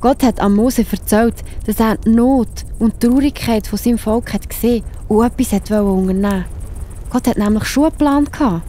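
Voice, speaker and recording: A woman's voice: a little high, a little fast, dark tone, slight background noise. A woman with a Swiss accent narrating a children's story in a chatty way, speaking directly to her listeners.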